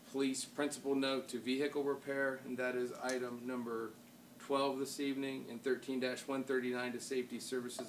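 An American man chairing a council meeting, speaking formally with informal asides and halting, repeated words. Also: mid-range voice. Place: wood-paneled council chamber. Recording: distant room microphone, faint background noise.

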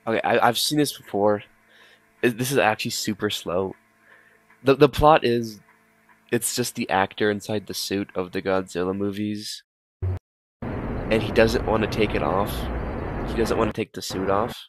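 Low-voiced young man, casual reactions. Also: steady tone, normal speed, long pauses, poor audio. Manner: rambling, repeats phrases. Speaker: host ranking horror videos.

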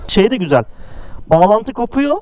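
A man's voice: high-pitched, very animated, moderate pace, a little mellow, dry recording, lo-fi sound. A man talking casually to viewers and friends as a motorcycle vlogger, hosting the video. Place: outdoors, on a motorcycle and at a windy roadside. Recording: noisy outdoors, wind and engine noise.

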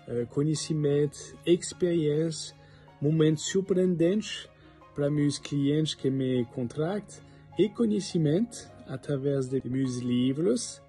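A man in his forties with a French accent, a private chef telling his life story to a phone held at arm's length outdoors, speaking slowly with many "eh" pauses. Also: a little high, mellow. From France. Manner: slow and halting, with frequent "eh" pauses. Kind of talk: personal story to camera. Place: outdoors by a stone wall with plants. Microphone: phone held at arm's length, selfie framing.

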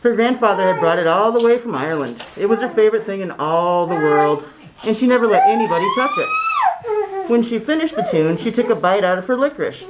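A middle-aged woman narrates a children's picture book. Her delivery is expressive and deliberate, with lively swings in pitch. She speaks through a cloth mask in a small indoor room. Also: very noisy. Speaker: children's story reader. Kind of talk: read-aloud storybook narration. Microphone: camera a few feet away, speaking through cloth mask.

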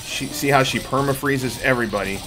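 A man's voice: mid-range voice, a little fast, bright, forceful tone, rough recording. A middle-aged man giving casual live gameplay commentary, explaining things to viewers as he streams. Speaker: game streamer and host. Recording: game music behind the voice.

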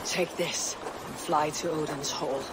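An actor playing a resolute, solemn Viking clan leader in a video game, grave and emphatic. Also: male voice, a little high, fairly even intonation, unhurried, very noisy, natural voice. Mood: sombre. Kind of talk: dramatic video game cutscene acting.

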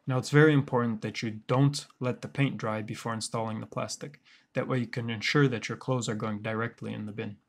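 A man giving a scripted DIY tutorial voice-over in a deadpan, wry and sarcastic manner. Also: mid-range voice, a little hiss or hum. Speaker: woodworker presenting a DIY tutorial.

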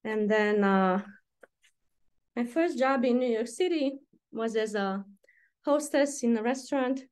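Woman, Romanian accent, middle-aged, poet reading her work, slow and deliberate, video call from home. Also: a little high, a little mellow. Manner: measured, deliberate reading with explanatory asides between poems. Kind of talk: poetry reading. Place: room at home, indoors.

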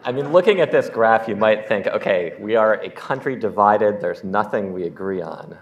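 A man's voice: fairly low pitch, animated, normal speed, dull audio, slight background noise. A man giving a casual keynote demo in a lecture hall, with wry asides. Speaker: conference keynote speaker. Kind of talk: live demo presentation.

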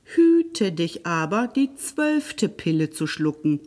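A woman voicing a good fairy in a storybook, measured and cautioning. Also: lowish voice, big pitch swings, a little mellow, long reverb tail. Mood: solemn warning. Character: good female fairy giving a solemn warning.

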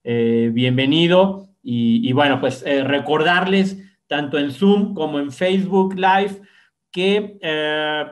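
Un hombre que modera un foro en línea presenta al ponente, repitiendo palabras y con muletillas. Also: male voice, on the high side. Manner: hesitant with repeated words. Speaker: event moderator. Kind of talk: introducing a guest speaker.

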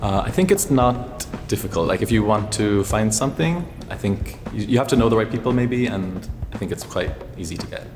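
A man from Ireland, speaking in a relaxed, thoughtful way as an interview guest. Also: fairly low pitch, fairly quick, few pauses. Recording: echoey studio with music behind.

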